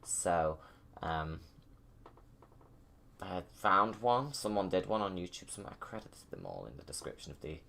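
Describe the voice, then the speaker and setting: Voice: deep voice, very animated, unhurried, vocal fry, fairly bright tone. A video creator giving casual, rambling commentary on a film in an enthusiastic, gushing fan's manner. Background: music.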